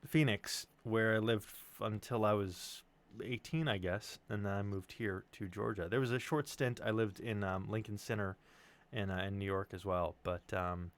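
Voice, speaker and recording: A man's voice: lowish voice, normal speed. A man with a neutral American accent telling personal stories in casual chat, joking and rambling with some swearing. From United States, Chicago suburbs and Tennessee. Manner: animated, joking, profane, digressive.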